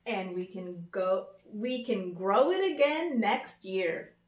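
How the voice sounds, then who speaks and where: A woman in her thirties reading a children's picture book aloud like an early education teacher, with simple, deliberate phrasing and little asides. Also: mid-range voice, animated, a little hiss or hum. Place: indoor room with wood-paneled wall. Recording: echoey room, slightly dull sound.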